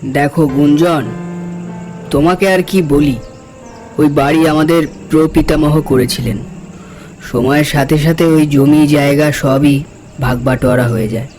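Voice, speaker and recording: A man's voice: on the high side, steady tone, measured pace, pauses often, a little mellow, natural voice. An actor playing a hospitable, insistent village man in a horror cartoon, talking in a chatty, coaxing, folksy way. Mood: sombre explaining. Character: hospitable, insistent village man hosting his junior colleague. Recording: music behind the voice. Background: background music.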